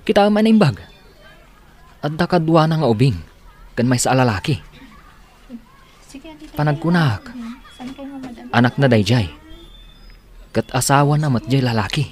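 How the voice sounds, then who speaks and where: Male actor for an easygoing Ilocano pal, average pitch, soothing. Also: animated, measured pace, pauses often, darkish tone, close to an everyday voice. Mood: reassuring. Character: supportive male best friend of the hero. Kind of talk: radio drama acting.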